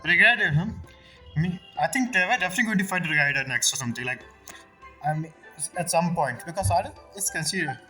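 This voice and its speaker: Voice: mid-range voice, animated, fast talker. A streamer giving casual, rambling, sweary reactions to a game story in non-native phrasing. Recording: loud game music behind.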